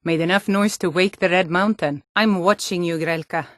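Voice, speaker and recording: A woman's voice: fairly low pitch, steady tone, moderate pace, a bit bright, dry recording, studio quality, natural voice. A woman voicing a hardy, forthright Nord townswoman and guard in a fantasy video game, speaking in an earnest, firm, plain-spoken way. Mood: stern, threatening.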